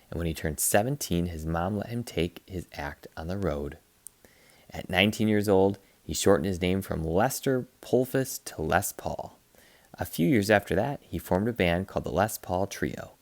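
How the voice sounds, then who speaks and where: A man reading a children's picture book aloud like a teacher, in an expressive, playful way. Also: low voice, vocal fry, no room sound. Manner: expressive, playful, acts out sound effects.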